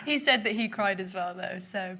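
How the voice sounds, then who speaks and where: A woman's voice: medium pitch, moderate pace, sharp tone, a little hiss or hum. A young woman chatting as a guest on a studio show, bubbly and giggly. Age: young adult. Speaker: celebrity contestant. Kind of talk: guest interview.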